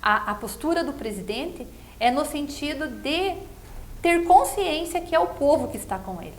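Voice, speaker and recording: High-pitched Brazilian woman, earnest commentator. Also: expressive, moderate pace, a little hiss or hum. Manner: earnest, explanatory, frequently seeking agreement with tag questions. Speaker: interview guest, political commentator. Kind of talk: conversational political commentary.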